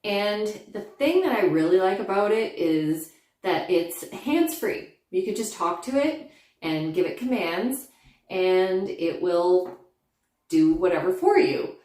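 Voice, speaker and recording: A woman's voice: medium pitch, lively intonation, slow pace, darkish tone. A woman vlogger speaking in a chatty, enthusiastic way, casually reviewing motorcycle gear and narrating rides.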